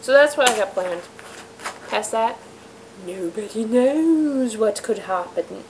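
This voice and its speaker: Raspy, sick-sounding woman chatting slowly. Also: on the high side, lively intonation, slight background noise, low-quality recording. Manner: chatty, self-deprecating, many fillers and trailing asides. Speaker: host of a home web show. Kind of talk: rambling casual monologue to viewers. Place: room in a house.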